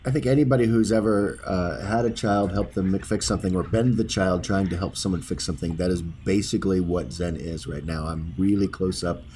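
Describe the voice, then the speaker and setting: Deep-voiced wry male player. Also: a little fast, few pauses, mellow, a little hiss or hum. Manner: wry, joking commentary. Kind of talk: casual tabletop play.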